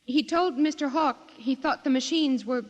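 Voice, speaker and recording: A woman's voice: high-pitched, moderate pace, a little mellow, natural voice. A woman playing an earnest secretary witness. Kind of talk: 1950s radio drama acting. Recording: dull old broadcast with long reverb.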